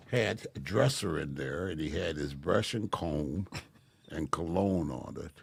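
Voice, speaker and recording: A man in his eighties, a podcast guest telling stories about his life in a soft-spoken, wry, self-mocking way. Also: low voice, gravelly, no room sound. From Delray. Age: 80s. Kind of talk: casual storytelling interview.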